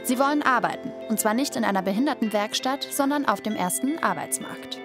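Slightly high-voiced woman news narrator. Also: expressive, brisk, music in the background. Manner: clear, informative. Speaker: TV reporter.

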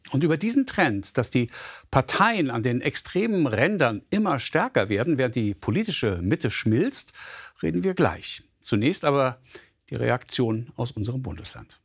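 A male news anchor opens a TV bulletin with lively, emphatic pitch movement. His voice has a slight breathy edge and the studio sound is dry and close. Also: dry recording. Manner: clear, measured, emphatic. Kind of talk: scripted news presenting.

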